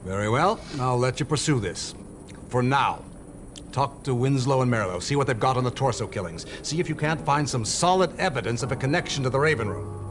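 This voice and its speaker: An actor playing a stern, skeptical intelligence chief in a video game, with a formal, measured, dry delivery. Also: male voice, mid-range voice, lively intonation, noisy background, natural voice. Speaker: superior officer. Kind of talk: video game cutscene acting. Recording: game dialogue over background music.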